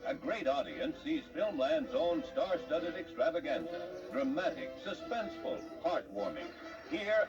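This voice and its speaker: An American man reading scripted 1950s newsreel narration in a dramatic, declamatory announcer style. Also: a little high. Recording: muffled distant 1950s newsreel soundtrack, loud music. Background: loud music.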